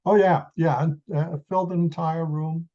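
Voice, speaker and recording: Dark-voiced man in his eighties from the Netherlands. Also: on the high side, little pitch movement, moderate pace, dull audio. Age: 80s. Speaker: interview guest, retired engineer. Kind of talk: reminiscing in an interview.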